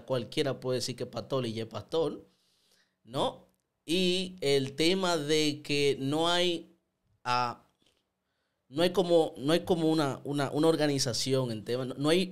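Animated young man commenting. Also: mid-range voice. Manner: animated, gestures a lot, frequent repeated words. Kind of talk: casual opinion commentary. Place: small indoor studio. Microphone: studio mic on stand, headphones.

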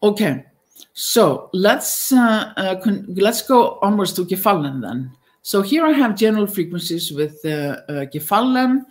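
A woman's voice: mid-range voice, big pitch swings, a little slow. Icelandic woman giving a hesitant academic talk. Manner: hesitant, many fillers and restarts. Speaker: linguistics lecturer. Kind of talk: academic conference talk over slides. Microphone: webcam on video call.

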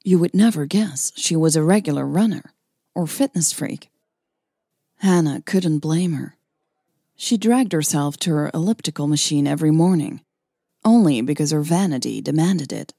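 A woman's voice: deep voice, creaky, warm tone, husky, no echo, clean studio sound. A woman hosting a podcast and narrating a romance story, sounding a little tired. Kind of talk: scripted romance narration and casual podcast outro.

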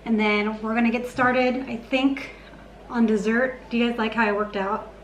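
Higher-voiced woman vlogging in an echoey kitchen. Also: steady tone, moderate pace. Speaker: lifestyle vlogger. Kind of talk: casual vlog chat while cooking, answering viewer questions. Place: home kitchen, indoors. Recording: echoey kitchen with clear background noise.

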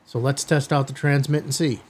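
A middle-aged man hosting a hobby video, giving a product demonstration to camera in a husky voice. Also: medium pitch, little pitch movement, fairly quick. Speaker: host of a ham radio video channel. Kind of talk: product test demo to camera. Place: small indoor studio room.

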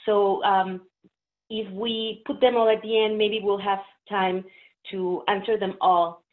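A woman's voice: medium pitch, steady tone, unhurried. A woman from Mexico, a native Spanish speaker with a Mexican Spanish accent, giving a friendly, explanatory interpreter training presentation on a video call. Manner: friendly, explanatory, uses fillers. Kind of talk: webinar presentation. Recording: phone-like narrow audio.

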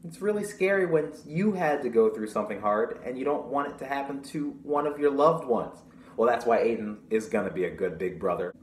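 A young man reading a picture book aloud to children, pausing to explain things simply and ask questions. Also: medium pitch. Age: young adult. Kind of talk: storytime read-aloud with explanatory asides. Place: small room at home, indoors. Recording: roomy echo with clear background noise.

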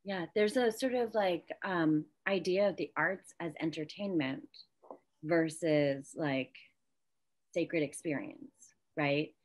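Slightly low-voiced woman, casual interviewer. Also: slow pace. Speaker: interviewer, host. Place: indoor room at home, video call.

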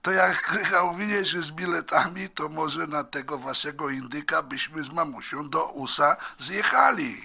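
A man's voice: a little high, moderate pace, creaky, few pauses, bright, forceful tone, slightly rough, full character voice. A man playing a brash, touchy, complaining brother in a radio drama, blunt and colloquial.

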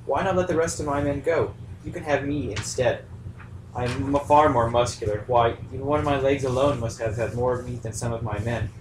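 Casting: man as brave rabbit captain in a puppet tale, measured and polite, medium pitch, roomy sound. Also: natural voice. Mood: bold pleading. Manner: measured, courteous, reasoning. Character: brave, diplomatic sea captain rabbit, adult male. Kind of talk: amateur puppet story dialogue. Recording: echoey room, far mic, faint noise.

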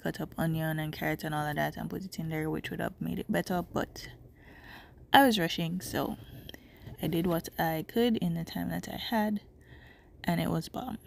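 A woman's voice: fairly low pitch, fairly even intonation, moderate pace, vocal fry, a little hiss or hum. A young woman from Jamaica with a Jamaican accent, chatting casually as she cooks in her home kitchen, a bit stuffy from a cold, picked up by a camera mic across the room. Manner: chatty, rambling, talking while cooking. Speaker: cooking vlogger. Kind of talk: casual narration over cooking footage.

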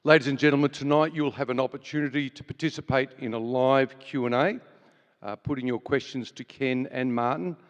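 Earnest host in a big echoing hall. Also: male voice, mid-range voice, a little fast, dull audio. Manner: earnest, with frequent fillers and restarts. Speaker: event host. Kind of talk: welcome and opening prayer at a church event. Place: large hall with live audience. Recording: reverberant large hall.

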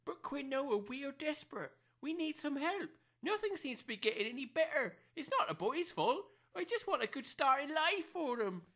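A woman's voice: high-pitched, sing-song, moderate pace, hardly any pauses, a bit bright. A woman playing an anxious, pleading working-class village mother in an amateur comedy audio drama, flustered and apologetic. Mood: tearful pleading. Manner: flustered, apologetic, non-standard grammar.

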